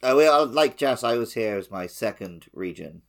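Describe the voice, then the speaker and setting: Casting: podcast co-host with a very animated, up-and-down delivery for casual gaming chat. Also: medium pitch, moderate pace. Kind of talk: casual podcast chat about a video game.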